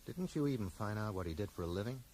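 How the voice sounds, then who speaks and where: Dogged low-voiced amateur sleuth, animated. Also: male voice, fast talker. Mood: probing, insistent. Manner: direct, probing, wry. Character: dogged, dry-witted bookseller questioning people like an amateur detective. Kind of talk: 1980s radio drama acting. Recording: noisy 1980s radio broadcast.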